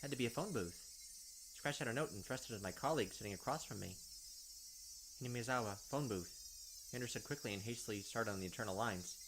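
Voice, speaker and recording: A man hosting a let's play, reading a visual novel's narration aloud in a steady, even way. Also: medium pitch, quick pace, background noise. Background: game music.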